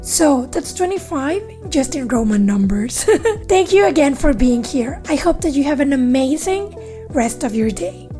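A woman's voice: sits high, sing-song, a little slow, music in the background. A young woman with a Latin American Spanish accent, hosting a casual interview podcast. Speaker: podcast host.

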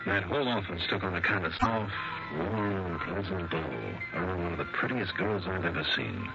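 A man's voice: deep voice, lively intonation, normal speed, gravelly. An actor playing a wry, worldly male insurance investigator in 1960s radio drama, with clipped hard-boiled storytelling. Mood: smitten, musing. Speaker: narrator and lead actor of a detective radio series. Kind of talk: 1960s radio drama acting, first-person narration. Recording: dull vintage radio recording with music.

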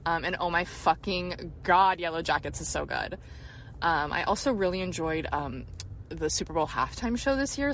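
Rambling low-voiced woman on a noisy voice memo. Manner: chatty, slangy, meandering asides. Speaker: listener leaving a voice memo. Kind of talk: casual rambling chat. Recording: noisy voice memo, vehicle-like ambience.

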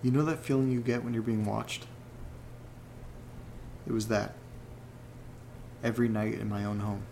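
A man playing an uneasy horror podcast host who reads letters aloud, earnest and deliberate, with pauses between phrases. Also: on the low side, fairly even intonation, creaky, a little mellow, slight background noise. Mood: uneasy, troubled. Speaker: audio drama podcast host character reading listener letters. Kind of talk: dramatic narration in a horror audio drama.

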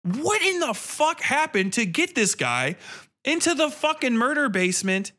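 A man's voice: very high-pitched, very animated, unhurried, pushed and bright. A man hosting a podcast, delivering a casual, sweary review rant in an exasperated, sarcastic and emphatic way. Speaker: podcast host reviewing horror films and books. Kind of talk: casual ranting review monologue, heavy swearing.

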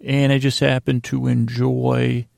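A man hosting a sleep podcast in a soothing, rambling bedtime monologue, hesitant and meandering, with long pauses and stammered restarts and a gravelly voice. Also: mid-range voice, monotone, a little mellow, dry recording. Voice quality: creaky, gravelly. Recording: faint music under a dry voice.

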